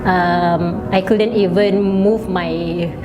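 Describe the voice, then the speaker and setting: A woman with a slightly low voice shares a personal account. She speaks informally and hesitantly, with clear music playing behind her. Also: steady tone, extremely slow, dull audio. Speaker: interviewee, eczema sufferer. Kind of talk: personal testimony to camera. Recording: music over the voice. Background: background music.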